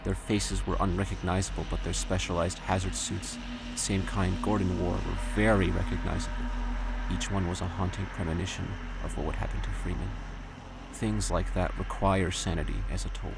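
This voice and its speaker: A deep-voiced man narrates an audio drama from a script. His delivery is dramatic, with a gravelly fry in the voice, and loud music runs underneath. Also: level tone, normal speed.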